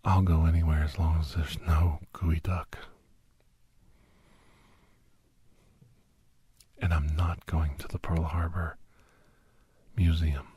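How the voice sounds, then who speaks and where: A man playing a weary, wry doctor telling his adventures in a hushed, deadpan ASMR style with a husky, breathy half-whisper. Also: extremely low pitch, measured pace, dry recording, full character voice. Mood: tired, playful. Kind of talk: ASMR scripted storytelling. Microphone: close, dry microphone.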